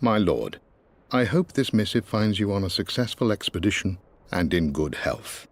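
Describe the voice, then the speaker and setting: An actor playing a patient elderly British family butler in a video game, speaking formally and deferentially with a gentle wryness. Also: male voice, on the low side, expressive, normal speed, slight background noise. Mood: formal, fond. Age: older. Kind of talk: video game voice acting, letter narration.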